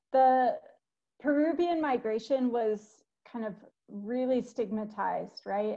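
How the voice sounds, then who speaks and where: Middle-aged female academic, fairly high voice, chatty, dull lo-fi call audio. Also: a little mellow. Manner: conversational, fillers like "like" and "kind of". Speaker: panelist. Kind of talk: academic webinar discussion. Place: home room. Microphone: video call with earbuds.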